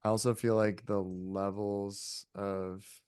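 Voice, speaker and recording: Young guy w a lowish voice on a podcast, thinking out loud, swears a bit, super dry mic. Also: flat delivery, slow, smooth, no room sound. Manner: hedging, reflective, frequent swearing. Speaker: podcast guest. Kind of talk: casual podcast conversation.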